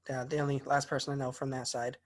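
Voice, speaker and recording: A man's voice: medium pitch, flat delivery, fast talker. A young American man, a college student, speaking informally and a little hesitantly as he shares in an online class. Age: young adult. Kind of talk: informal spoken class presentation. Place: online class video call.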